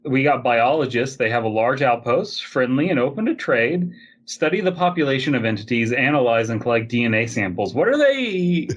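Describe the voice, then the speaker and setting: A man's voice: mid-range voice, expressive, fairly quick, muffled recording. A man with a mid-range voice chats casually as a podcast co-host. He riffs in an incredulous, mock-enthusiastic way as he reacts to stories he reads out. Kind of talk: casual comedic chat, reacting to read-out web fiction.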